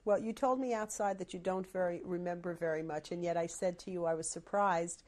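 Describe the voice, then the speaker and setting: A woman's voice: on the low side, steady tone, a little fast, a little mellow. A woman interviewing an older survivor, who formally reads out names, dates and places. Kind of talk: oral history interview.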